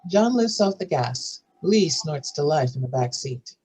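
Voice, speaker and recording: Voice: mid-range voice, animated, a little slow, darkish tone, a little hiss or hum. A narrator reading out scene headings and stage directions at a screenplay table read.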